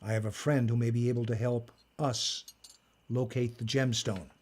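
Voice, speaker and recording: A man acting in an audio drama as an old magician and collector, formal, smug and lecturing, with a measured delivery. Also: medium pitch, fairly even intonation, a little mellow, lightly acted. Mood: calm, explanatory. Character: old male magician and artifact collector, commanding, smugly moralizing.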